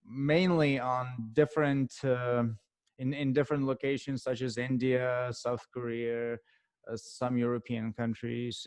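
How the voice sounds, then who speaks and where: Ukrainian-accented man, halting, dry close sound. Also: medium pitch, darkish tone, no room sound. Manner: halting, many fillers and restarts. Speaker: guest author and consultant. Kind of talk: podcast interview answers.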